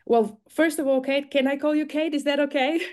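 A woman hosting a podcast interview, friendly and quick to laugh, with some fillers. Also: high voice, darkish tone. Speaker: podcast host. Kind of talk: interviewing a guest.

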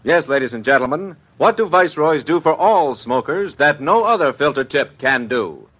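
A man's voice: fairly high pitch, animated, moderate pace, a bit bright, muffled recording. A man delivering an emphatic 1950s radio commercial as the announcer, stressing key words.

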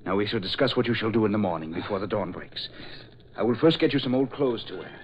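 A man's voice: on the low side, animated, fast talker, natural voice. A man playing a cautious, polite French village father with a French accent, in old radio drama style. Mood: urgent, guarded. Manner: deferential, careful. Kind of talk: 1940s radio acting. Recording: muffled, noisy old radio recording.

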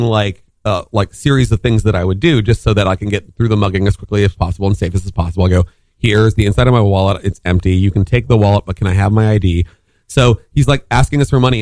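A man's voice: fairly low pitch, fast, darkish tone, no room sound. A man telling a long story in casual chat, rambling with lots of fillers and restarts. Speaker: podcast co-host telling a story.

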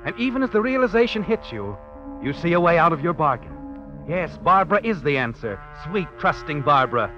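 A sly, taunting mystery narrator from old radio. His fairly high voice moves with animated, insinuating inflection over prominent music. The recording sounds dull and dated. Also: brisk. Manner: insinuating, sardonic. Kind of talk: 1940s radio drama second-person narration.

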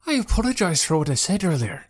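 A man acts out a timid male traveller from a fantasy audiobook. The voice sits high and sounds flustered, with an indignant, stammering manner. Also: sing-song, fast. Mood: apologetic. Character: panicky, indignant, cowardly young male traveller.

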